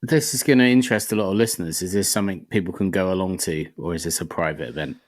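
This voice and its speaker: A man's voice: deep voice, quick pace. A British man co-hosting a casual podcast, laid-back and jokey in his delivery. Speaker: podcast co-host.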